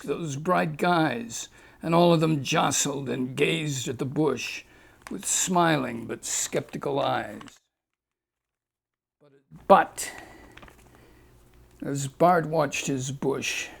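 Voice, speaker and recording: Slow gravelly man reading a rhyming fable. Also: fairly high pitch. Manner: slow, playful reading that leans into the rhymes. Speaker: author reading his own fable. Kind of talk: rhyming storybook narration with character voices.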